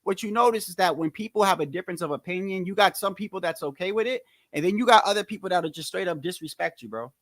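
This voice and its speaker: A man with a New Jersey accent and a notably high, youthful-sounding voice chats on a livestream. His talk is loose and slangy, circling back and repeating phrases. The sound is dry and close, with almost no room around it. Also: expressive, quick pace, hardly any pauses, a bit bright, dry recording. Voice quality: high-pitched voice. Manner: rambling, slangy, repeats himself. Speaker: boxing commentary livestream host. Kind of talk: casual livestream chat.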